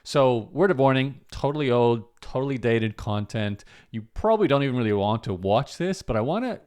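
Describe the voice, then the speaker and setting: A man from Australia with a Canadian accent, talking casually to camera as host of his channel in a relaxed, rambling way with frequent fillers. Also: mid-range voice, fairly quick, smooth. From Brisbane, Australia. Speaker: online entrepreneur and channel host.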